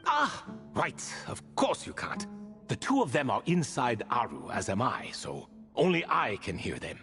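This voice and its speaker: A man's voice: mid-range voice, huge pitch swings, a little slow, music in the background. An actor playing an ancient proud male spirit retainer bound in a relic, telling his tale with dry amused asides in a video game cutscene. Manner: wry, stately storytelling with dry amused asides.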